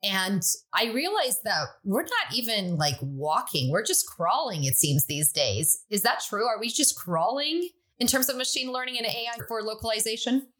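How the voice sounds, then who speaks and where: A woman's voice: fairly high pitch, sing-song, moderate pace, few pauses, fairly bright tone. A woman whose first language is Croatian, hosting an interview and asking curious follow-up questions. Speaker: podcast host.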